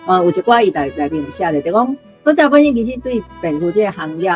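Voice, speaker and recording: A woman's voice: on the low side, expressive, quick pace, highs cut off, faint music behind. A woman with a Taiwanese accent giving unscripted explanatory commentary in a conversational way, full of particles and small asides. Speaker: guest commentator.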